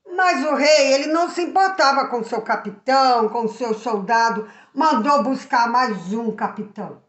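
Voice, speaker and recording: A Brazilian woman telling a story to children in a simple, expressive way, addressing her viewers directly. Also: on the high side. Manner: simple, expressive, repeats subjects for emphasis. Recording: echoey room.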